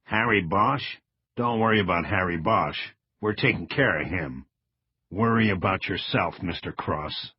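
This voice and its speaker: A man's voice: low-pitched, measured pace, slightly rough, dry recording, muffled recording. A man playing a menacing federal agent in an audiobook, taunting and threatening in a hard-edged way.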